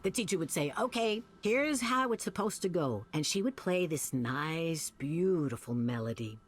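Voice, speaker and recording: A woman's voice: deep voice, lively intonation, moderate pace, husky. A woman playing a chatty, lonely, scatterbrained older lady who rambles wistfully in a visual novel. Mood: amused reminiscing. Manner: rambling, folksy, wistful storytelling. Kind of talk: naturalistic visual novel voice acting.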